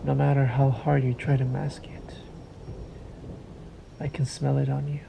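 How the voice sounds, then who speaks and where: A man's voice: monotone, noisy background. A man voicing a sadistic, possessive vampire master in an ASMR roleplay, coaxing and commanding in a condescending murmur that often sinks into a whisper. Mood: commanding, menacing. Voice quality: low gravelly murmur, often sinking into whisper. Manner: very slow, deliberate, coaxing and commanding.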